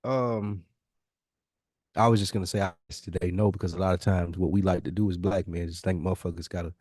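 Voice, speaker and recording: A man with a low-pitched voice co-hosts a podcast. He chats casually in slang, swears now and then and drops "man" often. The sound is dry, with very little room. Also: steady tone, a little fast, darkish tone, no room sound. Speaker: podcast co-host. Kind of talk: casual banter.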